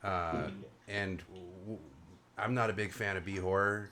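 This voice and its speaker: Joking low-voiced male host. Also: fairly even intonation, unhurried, sharp tone, slight background noise. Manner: jokey, rambling, self-mocking. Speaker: host of a panel show. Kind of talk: casual joking chat. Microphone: video call.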